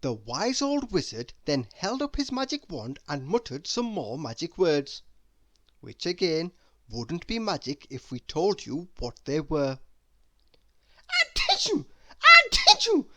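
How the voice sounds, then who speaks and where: Animated, unhurried children's story reader. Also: medium pitch, no room sound. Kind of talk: expressive children's read-aloud narration.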